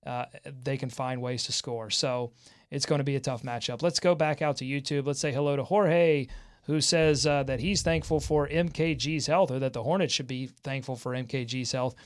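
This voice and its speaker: A man hosting a sports talk show in an excitable, jokey way. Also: mid-range voice, moderate pace. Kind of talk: lively sports talk with co-hosts.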